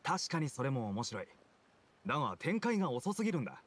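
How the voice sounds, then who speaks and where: A man's voice: mid-range voice, very animated, brisk. An actor playing an opinionated townsman in a video game, explaining his tastes in an assertive, casual way. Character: opinionated adult male townsman, avid novel reader. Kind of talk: scripted video game dialogue.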